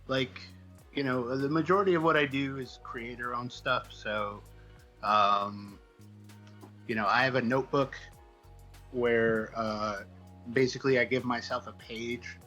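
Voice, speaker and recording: A man chatting casually as an interview guest, halting and self-deprecating as he thinks out loud. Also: mid-range voice, rough recording. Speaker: guest, comic book writer.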